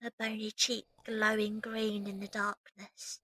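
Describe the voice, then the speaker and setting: A woman's voice: medium pitch, little pitch movement, measured pace, bright, forceful tone, poor audio. A woman playing a young working-class match girl and maid, cheeky yet unsure of herself, in an audio drama. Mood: reflective narration. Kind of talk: audio drama acting and narration.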